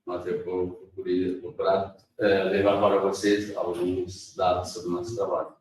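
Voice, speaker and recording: A man's voice: deep voice, completely flat delivery, a little slow. A man interpreting a lecture into Portuguese line by line, rephrasing and restarting as he goes. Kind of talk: consecutive interpreting. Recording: echoey hall, voice from far off.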